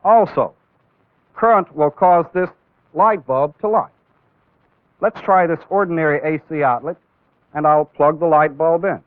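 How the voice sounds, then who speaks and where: A man's voice: on the high side, no echo. Tinny, band-limited male training-film instructor. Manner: deliberate, step-by-step explaining with emphasis on key words. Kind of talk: instructional demonstration lecture. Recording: tinny phone-like old film soundtrack.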